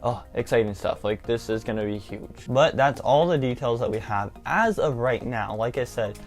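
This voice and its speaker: A man's voice: mid-range voice, moderate pace, faint music behind. A nineteen-year-old man, hosting a casual theme park vlog, rambling enthusiastically with lots of "like". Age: young adult. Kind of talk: casual excited vlog talk.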